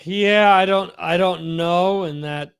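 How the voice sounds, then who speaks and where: A man co-hosting a casual chat, answering slowly and hesitantly. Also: high-pitched, gravelly, a bit bright, clean tone. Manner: slow, hesitant, measured.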